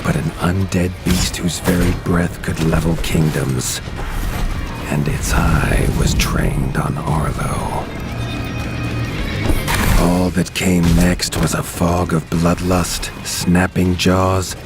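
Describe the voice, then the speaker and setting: Male narrator, very deep voice, slow grave storytelling, loud score underneath. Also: fairly even intonation, loud music behind the voice. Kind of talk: scripted storybook narration for a game.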